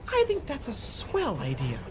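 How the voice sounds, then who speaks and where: A woman voicing a chipper, shady male character in a dark parody of a children's show, polite and singsong. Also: mid-range voice, wildly swooping pitch, measured pace, loud music behind the voice. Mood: helpful. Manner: cheerful, polite, singsong kids' host delivery.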